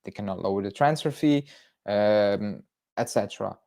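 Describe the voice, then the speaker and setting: A man's voice: fairly low pitch, moderate pace, vocal fry, darkish tone. Chatty Belgian-born man on a livestream. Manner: rambling, lots of fillers and restarts, chatty. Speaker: football fan channel host. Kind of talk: casual livestream chat reading viewer comments.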